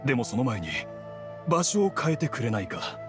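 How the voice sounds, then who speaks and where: Guy doing a resigned merchant dad in an anime game, lively intonation, persuasive, music playing behind. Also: mid-range voice, moderate pace, lightly acted. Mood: wary, resigned. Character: wary, resigned merchant and gentle father. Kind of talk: Japanese anime game voice acting.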